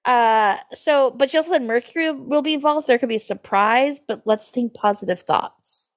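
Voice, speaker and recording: Female podcast host, higher-pitched voice, casual and playful, riffs conversationally. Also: moderate pace. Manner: chatty, riffing, playful. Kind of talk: casual comedic chat about horoscopes.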